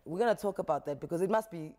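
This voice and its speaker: A woman's voice: on the low side, darkish tone. A woman in her thirties hosting a television talk show, chatty and playful, speaking on a lapel mic in a studio. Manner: playful, chatty, quick laughs. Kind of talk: casual studio interview. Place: tv studio set.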